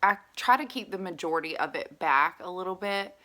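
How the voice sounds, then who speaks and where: A woman's voice: fairly low pitch, animated, fairly quick, pushed and bright. A young adult woman, chatting through a makeup tutorial while she has a sore, stuffy throat. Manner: chatty, upbeat, lots of fillers. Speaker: beauty vlogger. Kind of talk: casual makeup tutorial chat.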